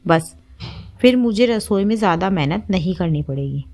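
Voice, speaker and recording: A woman's voice: fairly low pitch, animated, normal speed, darkish tone, slight background noise. Woman voicing a young, casual daughter-in-law. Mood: smug, amused. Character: young working daughter-in-law, scheming to dodge housework.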